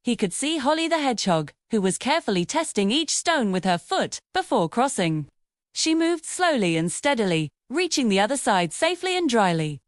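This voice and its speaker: A woman's voice: fairly high pitch, no room sound, clean studio sound. A woman reading a scripted children's story as its narrator.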